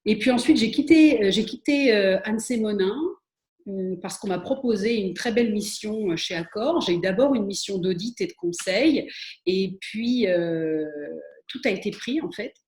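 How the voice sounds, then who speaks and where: Woman, middle-aged, interview guest, hesitant with fillers and restarts, mid-pitched voice, roomy echo. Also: normal speed, darkish tone. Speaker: interview guest, spa and wellness expert. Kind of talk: conversational interview answer. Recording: echoey room.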